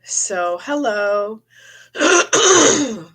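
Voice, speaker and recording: A middle-aged woman with a cold, losing her voice, chatting in a meandering way on a live stream and often clearing her throat. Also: fairly high pitch, sing-song, extremely slow, a bit bright. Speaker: psychic medium streaming live. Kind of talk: rambling live-stream chat. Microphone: phone or webcam for a live stream.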